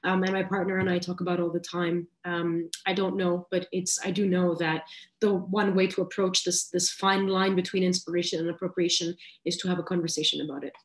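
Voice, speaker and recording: A woman in her thirties answering questions as a guest on a video call, halting and soft, with many restarts. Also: lowish voice, flat delivery, low-quality recording. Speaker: guest speaker. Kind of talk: casual interview answers. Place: small room indoors.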